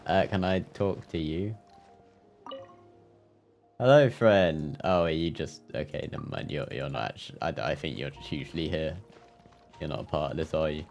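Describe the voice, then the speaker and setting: Male streamer, deep voice, casual asides during live gameplay, soft music behind. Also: normal speed, gravelly, long pauses.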